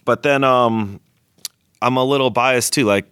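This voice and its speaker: A man's voice: medium pitch, a little slow, fairly bright tone, clear, resonant tone, no room sound, clean studio sound. A man who works as a chef, chatting enthusiastically on a podcast and often repeating words as he starts a sentence. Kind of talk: casual podcast chat.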